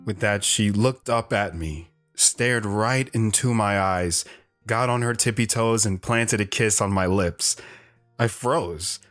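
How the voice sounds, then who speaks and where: A man's voice: fairly low pitch, slow, a bit bright. An actor playing a lone wanderer who recounts his romance in an earnest, hesitant, wistful way. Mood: wistful, reflective. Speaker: storytelling guest on a radio show. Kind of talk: dramatic first-person narration. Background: plucked guitar music.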